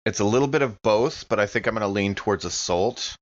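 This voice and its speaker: A man's voice: lowish voice, a little fast, lo-fi sound. A man talking casually as a player at a tabletop role-playing game.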